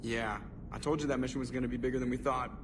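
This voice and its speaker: Man acting a wisecracking pilot, medium pitch, casual and flip, loyal, video game drama, distant over noisy rumble. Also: level tone, very fast, close to an everyday voice. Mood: nostalgic, wry.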